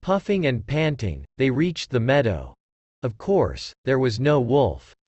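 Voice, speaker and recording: A man's voice: mid-range voice, sing-song, unhurried, darkish tone, no room sound, muffled recording. A man narrating a scripted story for children.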